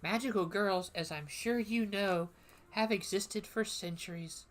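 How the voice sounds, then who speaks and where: A woman voicing a formal woman teacher of magical history in a game, lecturing in a measured way. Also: mid-range voice, natural voice.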